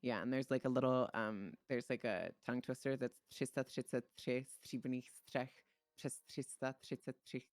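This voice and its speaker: A man who grew up speaking Czech chats casually during game roleplay. His voice sits at a middle pitch and he is animated and informal, swearing freely. The sound is very dry, with no room on it. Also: normal speed, no echo. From Czech Republic. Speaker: streamer playing a dispatcher in online roleplay.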